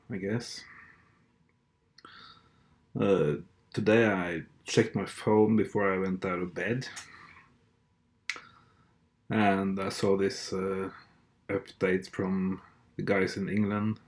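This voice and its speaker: A man from Norway, a native Norwegian speaker, talking in a casual monologue as host. He hesitates often, restarts phrases and clears his throat. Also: low-pitched, flat delivery, slow pace, long pauses, darkish tone. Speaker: podcast host.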